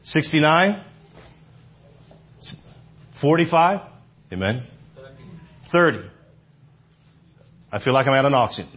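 A man's voice: medium pitch, sing-song, slow pace, long pauses, breathy edge. A man in his fifties preaching to a church audience, talking conversationally and asking the room questions. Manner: conversational, repeats phrases, asks the audience questions. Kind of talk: sermon. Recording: dull lo-fi sermon audio.

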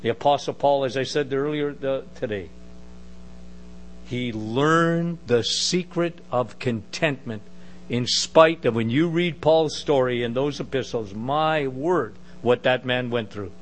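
A middle-aged man with a big booming voice preaching a sermon, emphatic with conversational asides. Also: measured pace, slight background noise.

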